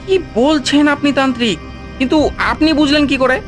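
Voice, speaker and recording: A woman's voice: sits high, brisk, lightly acted. A woman playing a sly but dim villain's accomplice in a cartoon, eager and questioning in manner. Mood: baffled, eager. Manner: animated, questioning. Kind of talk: dramatic cartoon voice acting. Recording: music behind the voice. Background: music.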